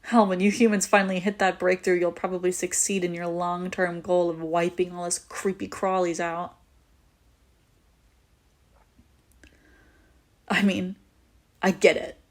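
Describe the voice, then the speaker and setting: A woman's voice: on the low side, natural voice. Wry, teasing young vampire woman, acted by a woman. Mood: bitter, resigned. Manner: casual, wry, teasing, swearing freely. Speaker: audio roleplay voice actor. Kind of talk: intimate scripted audio roleplay, conversational.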